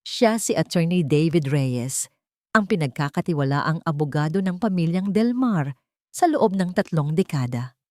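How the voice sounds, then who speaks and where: A woman's voice: sits low, expressive, normal speed, dark tone, no echo, clean studio sound. A woman with a Filipino accent reading a dramatic love story as scripted narration.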